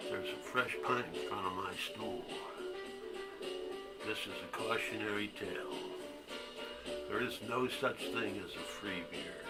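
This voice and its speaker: A man's voice: on the low side, poor audio. An actor playing a bitter, foul-mouthed man in a conversational dramatic monologue, like someone telling a barroom tale, with music behind him. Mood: bitter, irritated. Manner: profane, conversational storytelling. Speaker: actor in a one-act play.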